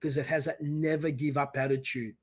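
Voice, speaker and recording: An Australian man in his sixties answers questions as a podcast guest. His voice sits a little high, and he talks with animated energy, often acting out the encouraging voices of parents. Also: brisk. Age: 60s. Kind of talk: casual interview.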